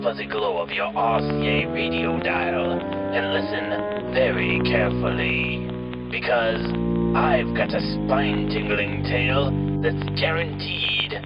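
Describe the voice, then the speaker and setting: A sinister host of a vintage radio horror programme. His voice sits low and he draws out his words with theatrical menace. Loud music plays behind him, and the sound is dull like an old broadcast. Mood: ominous welcome. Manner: theatrical, drawn-out, ominous delivery. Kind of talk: 1940s radio horror narration.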